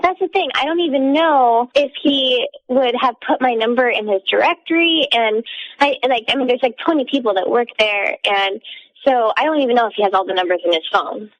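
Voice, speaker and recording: Anxious high-voiced woman caller. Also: brisk, no echo, lo-fi sound. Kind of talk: casual phone-in chat.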